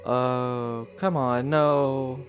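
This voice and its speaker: A male game streamer with an average-pitched voice talks casually and reacts aloud. Faint game music plays behind his informal commentary. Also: fairly even intonation, extremely slow, highs cut off. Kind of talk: casual live gaming commentary.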